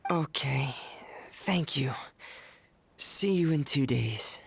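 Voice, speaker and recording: An actress playing a shy, gentle, earnest teenage boy in a video game, speaking quietly and hesitantly. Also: a bass voice, animated, slow pace, gravelly, slight background noise, full character voice. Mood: soft, hopeful. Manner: hesitant, quiet, soft-spoken.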